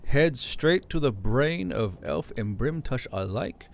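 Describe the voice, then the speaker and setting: A man's voice: mid-range voice, lively intonation, takes it slowly. A man playing a cheerful old elf governor. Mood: grim. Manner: cheerful, chirpy, gently teasing. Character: older male elf governor, kindly, chatty.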